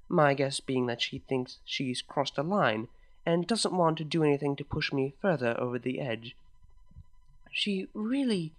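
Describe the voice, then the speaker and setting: A man reading a first-person fan story aloud in a steady, even way. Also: a little high. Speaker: story reader.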